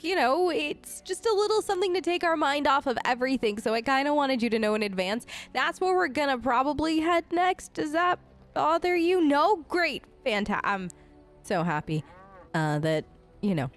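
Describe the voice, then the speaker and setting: A woman hosting a let's play, improvising in character in a casual, halting, supportive conversational way. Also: high-pitched.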